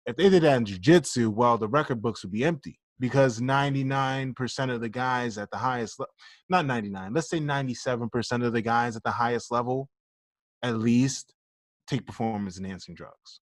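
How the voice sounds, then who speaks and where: A man's voice: medium pitch, fairly quick, no echo. Blunt, joking man on a dry mic. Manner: blunt, joking, swears freely. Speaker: podcast co-host. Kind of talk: casual podcast chat.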